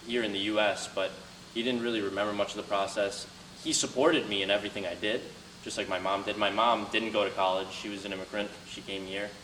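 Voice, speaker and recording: Young guy guest speaker, earnest, talking to a class from across an echoey room. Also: lowish voice. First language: Albanian. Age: young adult. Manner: earnest, motivational, loud at the start. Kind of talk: talk to students with Q&A. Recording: roomy, from a distance, faint noise.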